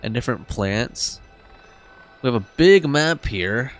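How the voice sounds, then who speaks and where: A man's voice: medium pitch, lively intonation, a little slow. A man giving casual, slangy reactions as he streams a game. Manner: laid-back slangy interjections. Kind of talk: casual reaction commentary over gameplay. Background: game music.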